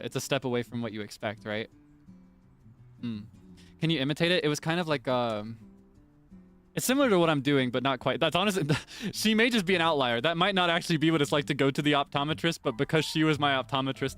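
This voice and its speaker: A man's voice: on the high side, fairly bright tone. A young man chatting casually on a stream, answering viewer comments with plenty of laughter and self-deprecating remarks. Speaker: virtual streamer.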